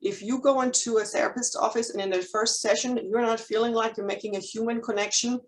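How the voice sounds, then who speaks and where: A woman's voice: a little high, steady tone, brisk. A German-accented woman in her forties, a licensed counselor giving a candid presentation to a live audience, with many ums and restarts. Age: 40s. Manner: candid, frequent ums and restarts, nervous humor. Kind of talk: chamber of commerce presentation.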